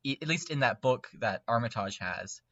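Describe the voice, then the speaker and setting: A man chatting casually and excitably as a fan on a podcast, with an emphatic, animated manner. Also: medium pitch, no room sound, muffled recording. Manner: animated, emphatic, quick to laugh. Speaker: podcast panelist.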